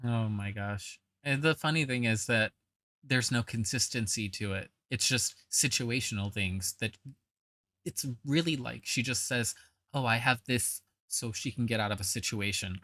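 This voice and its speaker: A woman's voice: very deep voice, normal speed, fairly bright tone, no room sound. Sarcastic woman, reaction commentary, close dry mic, scornful edge.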